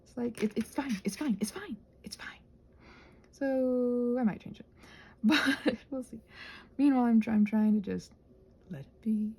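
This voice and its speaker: Woman, casual ASMR ramble, soft and husky, gravelly fry, self-deprecating, meandering, thinking aloud. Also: on the high side, a little slow, long pauses. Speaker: ASMR creator rambling while crafting.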